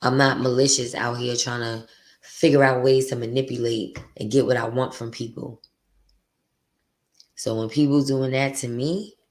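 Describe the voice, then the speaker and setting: A woman with a deep voice hosting her podcast, venting bluntly and profanely in a candid, confessional monologue. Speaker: podcast host.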